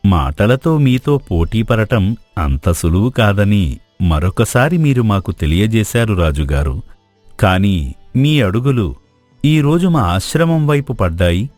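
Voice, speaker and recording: A man playing a wise, courteous royal guru in a storybook drama, speaking in a measured, formal, philosophical way. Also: on the low side, very animated, a little mellow, no echo, soft background music. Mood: gracious, respectful.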